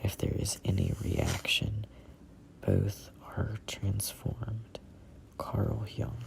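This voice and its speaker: Slow whispered read-along with heavy creak. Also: low-pitched, wildly swooping pitch. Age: 20s. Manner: halting, deliberate phrasing with stumbles over words. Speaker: reader of a self-help book.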